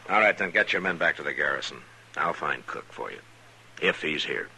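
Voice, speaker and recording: An actor playing a steady, firm frontier marshal, with an American accent and a measured, authoritative delivery in a western radio drama. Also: male voice, extremely low pitch, creaky, full character voice. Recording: noisy vintage radio recording.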